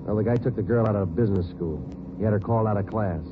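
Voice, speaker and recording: An actor playing a terse, steady police detective sergeant who narrates the case in a clipped, matter-of-fact 1950s radio drama style. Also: male voice, on the low side, fast talker, close to an everyday voice. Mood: businesslike. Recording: tinny old radio broadcast with hiss.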